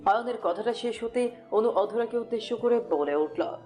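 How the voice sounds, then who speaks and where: A woman's voice: a little high, moderate pace, warm tone. A woman narrating a scripted romantic story as a storyteller. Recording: music bed behind voice.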